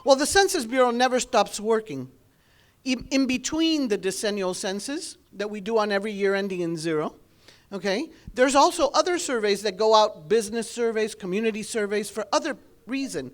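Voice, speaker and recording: A woman's voice: mid-range voice, lively intonation, a little fast. A woman with an accent giving an earnest, explanatory informational speech to a community audience. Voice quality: speaks with an accent. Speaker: government outreach speaker.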